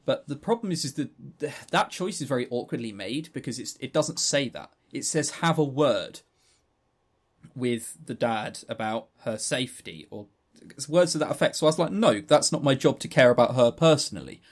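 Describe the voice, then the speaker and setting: A man's voice: mid-range voice, animated, moderate pace. Chatty, rambling male podcast co-host. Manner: rambling, chatty, stammering restarts. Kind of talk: casual game review, retelling a story.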